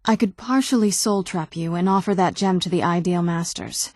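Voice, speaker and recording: An actress playing a guarded, wistful young-sounding vampire woman in a video game, speaking in a measured, conversational way with wry understatement. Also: lowish voice, darkish tone, no room sound, studio quality, natural voice. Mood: guarded, explanatory. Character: female vampire daughter, centuries old yet youthful, wry, guarded, wistful. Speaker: video game companion character. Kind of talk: video game dialogue acting.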